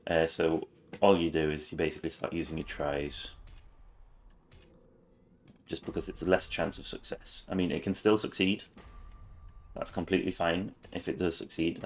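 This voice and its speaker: A man with a raspy voice this morning, talking through a game guide with many ums and restarts, slipping in words such as "whilst". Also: sits low, slight background noise. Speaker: gaming channel host.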